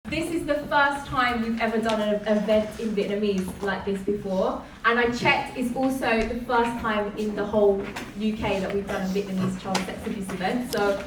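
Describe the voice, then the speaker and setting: A woman's voice: mid-range voice, fairly even intonation, normal speed, few pauses. A woman hosting a live community event, chatty and upbeat, often laughing as she talks. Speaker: event host. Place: community event hall, indoors. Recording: echoey room, distant mic, background noise.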